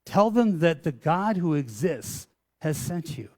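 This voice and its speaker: A pastor voicing God as a calm, commanding male deity, solemn and measured. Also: on the high side.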